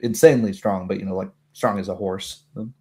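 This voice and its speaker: A man's voice: low-pitched, fairly even intonation, fairly quick, dark tone. A man working as a game master, chatting casually on a stream and explaining his rulings in a rambling way.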